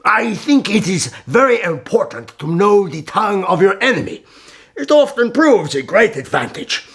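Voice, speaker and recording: An actor playing a shrewd, imperious medieval sultan in a historical radio drama, measured and questioning. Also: male voice, high voice, very animated, a bit bright, exaggerated voice. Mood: satisfied, commanding.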